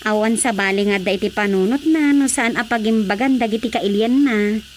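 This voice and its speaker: An actress with an Ilocano accent playing a plain-spoken, bossy mayor's wife in a radio drama comedy. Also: female voice, medium pitch, brisk, close to an everyday voice. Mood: modest, firm. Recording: faint noisy cricket-like ambience.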